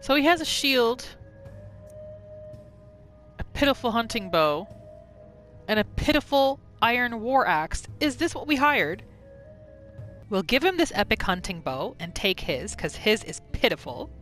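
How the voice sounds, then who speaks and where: A woman's voice: fairly high pitch, sing-song, unhurried, pauses often, a bit bright, faint music behind. A woman gaming host casually narrating her roleplay playthrough in the third person, as a voice-over.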